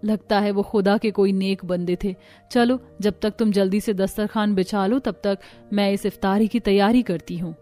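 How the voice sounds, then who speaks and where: An earnest, expressive household woman from a cartoon family tale, played by a woman. Her pitch is medium and music plays behind her. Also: moderate pace, natural voice. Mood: grateful, earnest. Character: women of cartoon households, earnest mothers, in-laws and neighbours. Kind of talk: Hindi cartoon story acting.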